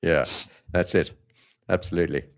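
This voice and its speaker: A man's voice: low voice, normal speed. A man hosting a live trading update, talking loosely with many fillers and restarts about markets and his own past. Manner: relaxed, rambling with frequent fillers and restarts. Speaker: trading channel host.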